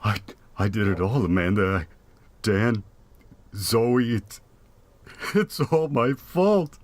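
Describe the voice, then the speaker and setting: Male actor as haunted boozy cop; lowish voice, slow halting stammer, audio drama. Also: expressive, a little mellow. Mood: confessing. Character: Steve, guilt-ridden, panicky, hard-drinking police detective.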